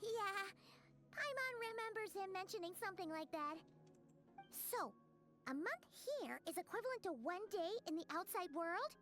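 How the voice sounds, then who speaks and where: A woman's voice: very high voice, expressive, moderate pace, fairly bright tone. A woman voicing a tiny, bubbly female game companion who talks about herself in the third person. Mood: excited. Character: tiny floating female companion, chirpy and bossy. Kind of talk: video game character dialogue. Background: game music.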